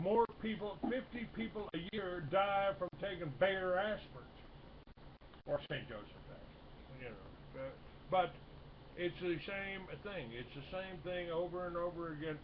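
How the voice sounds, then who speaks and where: A man in his sixties hosting a talk radio show, rambling with halting restarts and lots of fillers. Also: high-pitched. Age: 60s. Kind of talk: casual talk radio chat. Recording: dull lo-fi broadcast with faint hiss.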